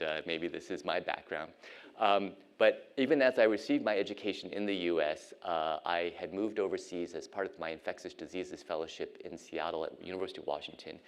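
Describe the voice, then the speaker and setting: Middle-aged man, deep voice, unhurried academic speaker from Ohio. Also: clean tone. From United States (Ohio). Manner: measured, frequent ums and uhs. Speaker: guest lecturer, physician and professor. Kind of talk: academic lecture.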